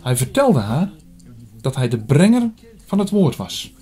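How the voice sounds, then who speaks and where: A man playing an earnest priest in an audio drama, telling his story in a measured, formal way. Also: a little high, big pitch swings, mellow. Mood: earnest, explaining. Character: earnest male priest investigating an apocalyptic prophecy. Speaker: narrator and lead actor. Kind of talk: scripted audio drama, first-person narration. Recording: music under the voice.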